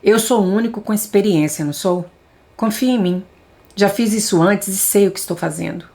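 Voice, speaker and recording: A woman's voice: medium pitch, a little fast, creaky, dark tone, natural voice. A woman reading as an arrogant, scornful ship captain. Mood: cocky. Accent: Brazilian. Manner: scornful, self-assured.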